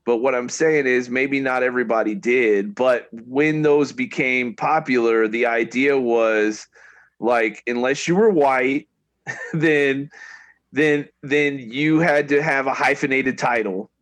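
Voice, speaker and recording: A man's voice: mid-range voice, slow, fairly bright tone. A middle-aged man co-hosting a casual debate, pressing his point with questions and frequent restarts. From United States. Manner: questioning, restarts sentences often. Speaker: podcast co-host.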